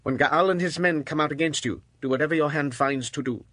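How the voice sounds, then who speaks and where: Scheming messenger in bible audio drama, urgent, kinda high pitched, no room echo. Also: male voice, fairly quick, fairly bright tone. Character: urgent conspiring messenger advising his commander.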